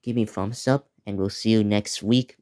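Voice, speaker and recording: A teenage male video creator giving a casual, unscripted preview, talking in a halting, repetitive way as he lists things. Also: lowish voice, steady tone, mellow, clean tone. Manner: halting, repetitive, listing place names.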